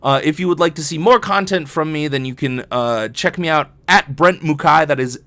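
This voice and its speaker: A man's voice: fairly high pitch, normal speed, fairly bright tone. A man hosting a casual solo podcast, talking in a rambling, jokey, self-deprecating way with some swearing. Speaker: podcast host and professional voice actor.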